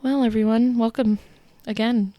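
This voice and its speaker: A young woman co-hosting a casual podcast, bubbly and jokey, quick to laugh. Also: fairly high pitch, fairly even intonation, warm tone, no room sound. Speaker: podcast co-host. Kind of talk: casual chat. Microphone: close studio mic.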